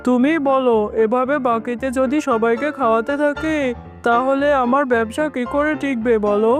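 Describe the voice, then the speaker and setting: A woman's voice: on the high side, fairly even intonation, normal speed, over music, natural voice. A woman voicing a plain-spoken male fox farmer who sells juice in a cartoon. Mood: pleading, firm. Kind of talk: scripted cartoon dialogue.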